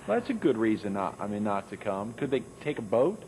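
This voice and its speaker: A man's voice: fairly low pitch, very animated, a little fast, a little mellow, a little hiss or hum. Curious male interviewer asking questions. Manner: inquisitive, follows up with short reactions.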